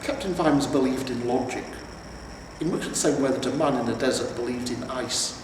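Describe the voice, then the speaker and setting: A man's voice: mid-range voice, moderate pace. Echoey, distant man reading a story. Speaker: amateur audiobook reader. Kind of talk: scripted story narration. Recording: roomy, echoing, distant mic with noise.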